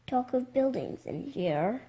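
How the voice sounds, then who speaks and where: A six-year-old child voicing a stern, dramatic woman schoolteacher from a storybook, speaking haltingly. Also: medium pitch, lively intonation, warm tone, breathy edge, full character voice, low-quality recording. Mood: stern, scolding. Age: young child, six.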